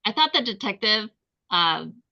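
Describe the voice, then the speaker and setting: A woman's voice: medium pitch, sing-song, measured pace, creaky, pushed and bright, no echo. A woman chatting casually and informally in a group discussion, with a giggly way of talking. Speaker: table read participant. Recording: dull, lo-fi audio.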